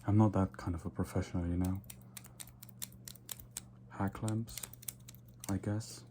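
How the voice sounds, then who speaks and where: Man playing a low, unhurried ASMR vampire. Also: fairly even intonation, a little hiss or hum. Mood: nonchalant, casual. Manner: soft-spoken, unhurried, repeats words in whispers. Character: vain, condescending male vampire, flirtatious and nonchalant. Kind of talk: ASMR roleplay.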